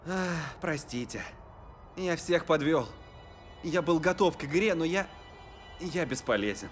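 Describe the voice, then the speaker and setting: A man's voice: fairly high pitch, lively intonation, measured pace, faint music behind. A man playing an earnest, downcast young footballer in a dubbed cartoon, emotional in manner. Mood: guilty, downcast.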